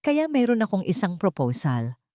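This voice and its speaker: A woman's voice: mid-range voice, big pitch swings, normal speed, mellow, dry recording, clean studio sound, natural voice. A Filipino-accented woman voicing a formal, controlled female executive and mother in an audio love story. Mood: controlled, reluctant. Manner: formal, restrained.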